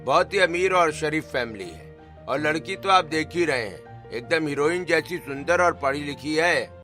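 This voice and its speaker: A man playing a bright, persuasive matchmaker. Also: a little high, animated, normal speed, faint music behind. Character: persuasive male matchmaker selling a bride's family. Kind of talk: animated cartoon story acting.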